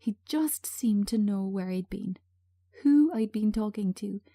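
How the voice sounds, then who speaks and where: A woman reading a script as a traumatised, anxious woman on edge, agitated and halting as she repeats herself. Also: mid-range voice, expressive, mellow, no room sound, clean studio sound. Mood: anxious, haunted.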